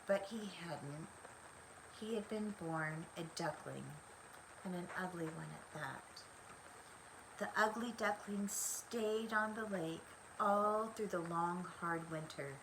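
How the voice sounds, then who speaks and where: Older woman reading slowly, noisy recording. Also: medium pitch. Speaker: storybook reader. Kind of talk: scripted children's story narration.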